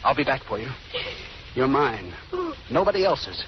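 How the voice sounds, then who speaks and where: Desperate old radio gangster, threatening slangy talk, wildly swingy pitch, muffled audio. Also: male voice, very animated, unhurried, background noise. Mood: urgent menace. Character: desperate, possessive gangster bidding his sweetheart goodbye. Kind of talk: 1930s-40s radio drama acting.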